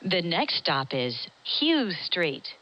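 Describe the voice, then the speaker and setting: A woman with an American accent reads scripted transit announcements in a measured, formal, clearly enunciated way. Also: lowish voice, sing-song, pushed and bright, low-quality recording. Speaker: subway announcer.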